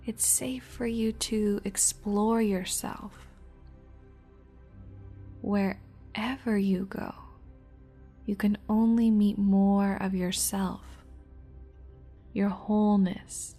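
A woman leading a guided meditation in a soft, breathy, near-whispered voice, gently, with long pauses between short phrases. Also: mid-range voice, fairly even intonation. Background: music.

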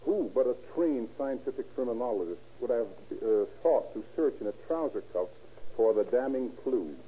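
American male police official, formal stiff read, very mellow tone, faintly noisy old radio. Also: mid-range voice, lively intonation, moderate pace. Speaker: police captain, guest speaker. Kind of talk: formal scripted radio address. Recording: muffled, noisy 1930s radio recording.

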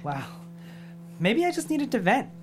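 A woman's voice: a little high, sing-song, a little slow, noisy background. A woman playing an insecure, envious, self-pitying male gym dweeb in a comedic script read. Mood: sheepish.

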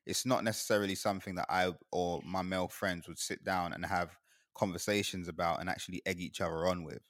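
A man's voice: sits low, steady tone, brisk, a bit bright, dry recording, studio quality. A young man from Guyana in casual chat, rambling and laughing often as he talks.

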